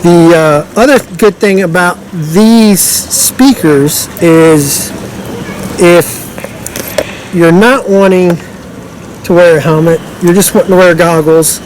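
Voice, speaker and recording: A man with a somewhat high-pitched voice walks through a product in a casual, unscripted way. His explanations are loose and informal, with sentences that trail off. There is faint noise behind his voice. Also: takes it slowly, a little mellow, low-quality recording. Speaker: product demonstrator.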